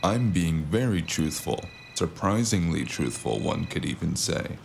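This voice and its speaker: A man playing a sardonic, world-weary cyberpunk investigator in an audio drama, narrating in first person with a gravelly, wry delivery. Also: sits low, big pitch swings, unhurried, a little mellow, a little hiss or hum, exaggerated voice. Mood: defensive, sarcastic. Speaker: narrator and lead character.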